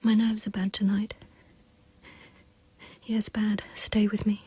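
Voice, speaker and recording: An actress playing an anxious, demanding woman in dramatic verse, with breathy, husky, fretful and urgent questioning. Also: mid-range voice, monotone, takes it slowly, warm tone. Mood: agitated, pleading. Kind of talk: dramatic poetry recital.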